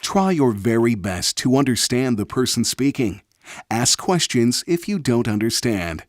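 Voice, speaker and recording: A man's voice: medium pitch, big pitch swings, a little slow, dry recording, clean studio sound. Man narrating a children's listening lesson. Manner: instructive, short simple phrases. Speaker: narrator and quiz host for children.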